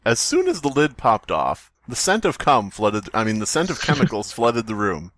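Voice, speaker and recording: A male podcast co-host with a mid-pitched voice. He talks casually and rambles into jokes, recorded dry and close. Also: huge pitch swings, moderate pace, a bit bright, dry recording. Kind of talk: casual comedic banter and story reading.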